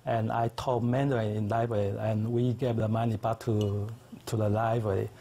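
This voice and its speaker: A middle-aged man whose first language is Chinese, speaking as a guest in a TV studio interview with earnest, simple phrasing and thoughtful pauses. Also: on the low side, level tone, vocal fry, warm tone, a little hiss or hum. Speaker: interview guest, tai chi teacher. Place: local TV studio set.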